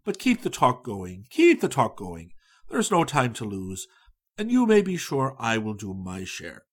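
A man voicing a mild, earnest reverend who speaks in a measured way. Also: mid-range voice, wildly swooping pitch, slightly rough, exaggerated voice. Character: mild, talkative middle-aged male reverend.

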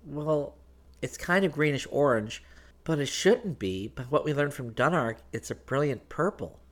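A man voicing a good-natured, candid young woman in a light, unhurried manner for a storybook. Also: on the high side, expressive, fairly bright tone, pitched above the performer's own voice. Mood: puzzled.

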